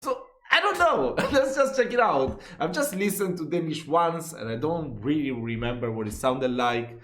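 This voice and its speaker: A man from Italy, chatting casually and reacting, exclamatory and quick to laugh. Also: on the high side, very animated, a bit bright, reverberant. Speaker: reaction video host.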